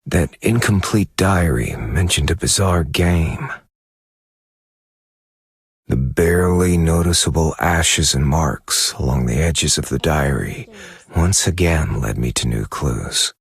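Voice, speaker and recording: A troubled novelist turned investigator in a video game, brooding over his lost memory. His voice is extremely low and very husky, with a gravelly edge. He delivers the monologue gravely and unhurriedly. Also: lively intonation, no room sound, studio quality, full character voice. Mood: measured, intent. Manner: slow, grave, measured. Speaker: game character narrator. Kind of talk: dramatic scripted monologue.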